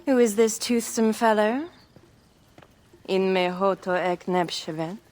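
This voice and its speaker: A woman's voice: medium pitch, measured pace, darkish tone, natural voice. An actress playing an imperious, decadent young queen of ancient Egypt in a video game, with a regal, theatrical manner. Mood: languid, provocative. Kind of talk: video game cutscene acting.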